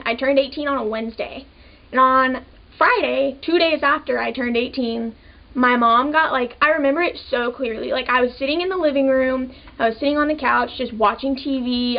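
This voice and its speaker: A woman's voice: sits high, highs cut off. A twenty-year-old woman telling her own story in a casual, chatty way, full of "like" fillers. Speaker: vlogger. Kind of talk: personal storytelling to camera.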